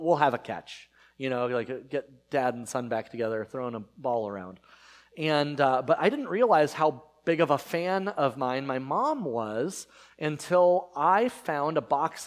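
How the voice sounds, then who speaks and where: A male pastor in his thirties whose first language is Spanish talks to his church in an easy, meandering way. He fills pauses with ums and uhs and restarts his sentences. His voice sits at a middle pitch. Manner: conversational, rambling with many fillers and restarts. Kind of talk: casual sermon.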